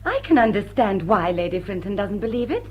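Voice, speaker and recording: A woman's voice: medium pitch, big pitch swings, a little fast, breathy edge. An actress playing a poised, gracious and wry woman thief, a former shop girl, in a vintage drawing-room drama. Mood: wry composure. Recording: dull lo-fi vintage soundtrack.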